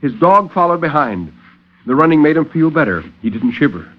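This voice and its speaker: A man narrating a dramatic horror story in a measured, grave, theatrical 1950s radio style. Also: fairly high pitch, very animated. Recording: dull vintage 1950s radio recording.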